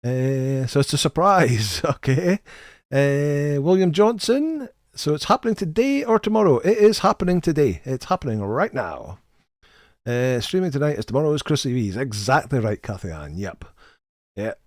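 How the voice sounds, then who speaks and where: A man's voice: mid-range voice, sing-song, normal speed, no echo, studio quality. A middle-aged man hosting a casual live game stream, greeting viewers in a chatty, friendly way and often repeating the first words of a sentence. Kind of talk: casual live stream chat, reading chat names.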